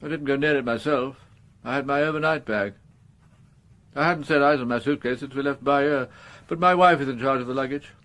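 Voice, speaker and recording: An actor playing a fussy upper-class English gentleman in a radio crime drama, languid, grumbling and well-mannered. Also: mid-range voice, a little fast, lightly acted. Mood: unconcerned.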